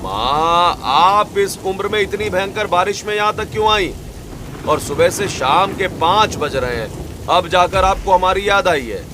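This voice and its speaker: A hungry, grumbling farmer son from a family cartoon, voiced by a man. His voice is slightly high and emphatic with complaint, with clear rain noise behind. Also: expressive, measured pace. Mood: irritated, complaining. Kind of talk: Hindi animated family story acting. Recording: loud rain ambience behind voice.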